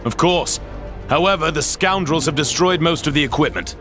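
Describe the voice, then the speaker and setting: Guy playing proper formal game hero, slightly high voice, loud music behind. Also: moderate pace, close to an everyday voice. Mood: composed. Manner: formal, old-fashioned wording.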